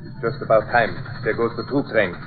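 A man's voice: lowish voice, steady tone, fairly quick, over music, lightly acted. A man playing a menacing Nazi saboteur ringleader with mocking, pompous, formal speech in a 1940s radio drama. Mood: smug, menacing. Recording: tinny vintage radio recording.

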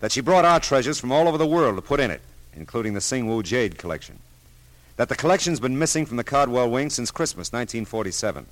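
An American actor playing a dry, persistent private detective in an old radio drama, with a wry, clipped, hard-boiled delivery. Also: male voice, mid-range voice, very animated, fast talker, dry recording, natural voice, low-quality recording. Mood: insistent. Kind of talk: 1940s-50s radio detective acting with first-person narration. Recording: old radio show, faint music.

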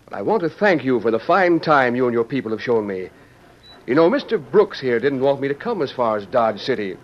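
An actor playing a genial, dignified American president, cordial and well-spoken, in an old-time radio drama. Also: male voice, mid-range voice, sing-song, a little fast. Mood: gracious. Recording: dull, noisy old radio recording.

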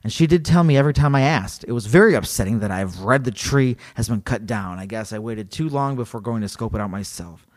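A man in casual podcast chat, reading a story aloud to his co-host and cracking jokes as he goes. His voice sits in an ordinary middle range for a man. He talks in an animated way and laughs easily. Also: medium pitch, a little fast, hardly any pauses. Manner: animated, joking, laughs often.

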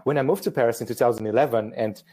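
A man's voice: medium pitch, rapid-fire, mellow, slightly rough. A man in his thirties from Romania, a photographer chatting casually as a guest, hesitant and self-deprecating with jokes. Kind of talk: casual live-stream conversation.

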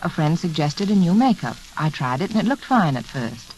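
A woman's voice: deep voice, sing-song, moderate pace, a little mellow. A woman hostess delivering a scripted 1940s radio advertisement. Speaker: sponsor's hostess. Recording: faintly noisy vintage radio recording.

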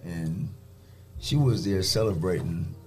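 A man from Jamaica with a fairly low voice tells a story casually on a morning radio show. He acts out the quoted lines, suddenly loud and high. Music plays clearly behind him. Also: slow. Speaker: guest.

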